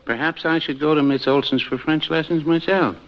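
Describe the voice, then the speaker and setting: An actor playing a mild, droll older married man in a 1950s radio comedy, understated and gently needling. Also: on the high side, steady tone, brisk, natural voice. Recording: dull, faintly noisy 1950s radio recording.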